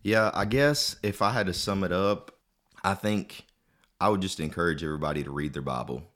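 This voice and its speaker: Male podcast guest, very low voice, sincere and down-to-earth. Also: brisk, fairly bright tone. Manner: earnest, plain-spoken, encouraging. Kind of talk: casual closing remarks.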